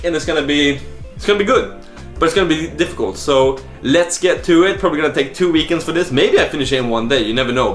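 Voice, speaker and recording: A man talking informally and slangily, thinking aloud as he hosts a casual video diary. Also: medium pitch. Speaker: host of a startup coding video diary. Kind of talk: casual vlog talk. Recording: echoey room, faint music behind.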